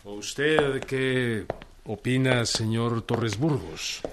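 An actor playing a wary, taciturn peasant leader with a Mexican accent, hesitant and grunting in reply, in a radio drama. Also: male voice, medium pitch, noisy background. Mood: wary, pondering. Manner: slow, hesitant, grunting replies.

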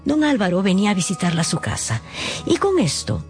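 A woman's voice: medium pitch, huge pitch swings, brisk, darkish tone. A woman narrating a dramatised story, with wry, knowing asides to the listeners. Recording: faint music behind the voice.

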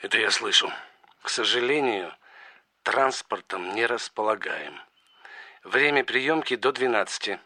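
An actor playing a stern, curt doctor speaking on the telephone in a radio play, with an official manner. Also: male voice, fairly low pitch, animated, measured pace, pauses often, bright, forceful tone, dry recording, full character voice. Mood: stern, businesslike.

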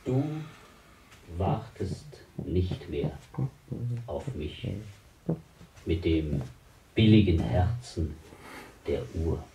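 A solemn man played by an actor recites melancholy poetry in a deep voice, extremely slowly and with halting phrases. It sounds like a distant, echoey, noisy broadcast. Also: mellow, exaggerated voice.

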